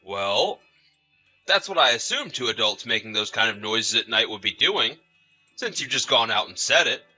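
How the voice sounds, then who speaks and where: A man's voice: medium pitch, lively intonation, normal speed, pushed and bright, faint music behind. A man playing an awkward neighbor with a complaint in a scripted audio story, hesitant and tactful but insistent. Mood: awkward, reproachful. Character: awkward, tired male neighbor making a complaint.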